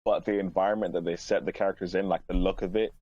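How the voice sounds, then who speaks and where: A man's voice: sits low, fairly even intonation, fast talker, a little mellow, lo-fi sound. A man talking casually as one of the panelists on a podcast. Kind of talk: casual group discussion.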